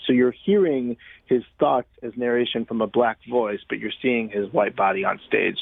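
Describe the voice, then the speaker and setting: A man's voice: mid-range voice, vocal fry, dry recording. Man in muffled, dry-sounding interview audio. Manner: informal, thinks aloud with "like" and acted-out quotes. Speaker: playwright and director being interviewed. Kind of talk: conversational radio interview.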